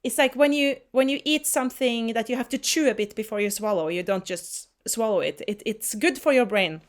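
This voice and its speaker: A woman musician from Norway, whose first language is Norwegian, answering interview questions in a relaxed way, often stopping to hunt for words. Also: a little high, a little fast. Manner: searches for words, many restarts and fillers. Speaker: folk musician.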